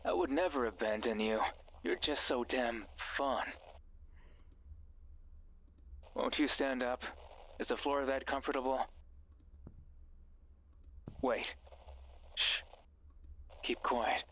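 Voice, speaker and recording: A man's voice: medium pitch, slow pace, long pauses, a bit bright. An actor playing a mysterious, controlling male voice in a horror game, taunting, sardonic and condescending. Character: mocking, cruel, controlling mysterious male voice on a radio.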